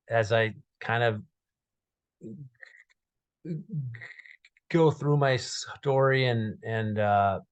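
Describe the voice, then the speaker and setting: Man in his 30s who stutters, unhurried personal story told straight into a close webcam. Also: fairly low pitch. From Minnesota. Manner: stutters with repeated sounds and blocks, many fillers. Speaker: guest speaker. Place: small dark room indoors.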